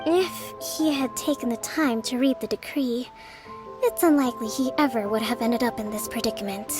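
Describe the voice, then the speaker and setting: A composed young strategist and leader, voiced by a woman in a video game. She reasons things through in a measured, even manner, her voice sitting fairly high. Faint game music plays underneath. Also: close to an everyday voice. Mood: reassuring. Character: calm, thoughtful young female strategist leader. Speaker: game character.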